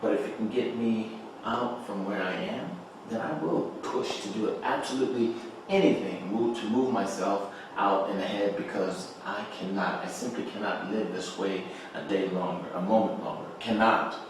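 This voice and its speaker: A man's voice: on the low side, moderate pace, natural voice. A man playing a desperate, trapped writer defending himself in a table read of a stage play. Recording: echoey room, distant mic, noise.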